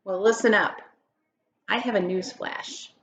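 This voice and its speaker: A woman's voice: medium pitch, measured pace, fairly bright tone, a little hiss or hum. A woman reading a children's picture book aloud like a teacher, with emphatic, dramatic phrasing and questions put to her listeners. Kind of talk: expressive children's story read-aloud.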